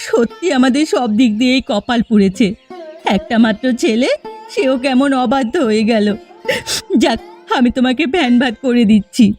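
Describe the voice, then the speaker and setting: A woman's voice: a little high, normal speed, a little mellow, soft background music, lightly acted. A woman voicing a lamenting poor farmer's wife. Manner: lamenting, complaining. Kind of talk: cartoon dubbing.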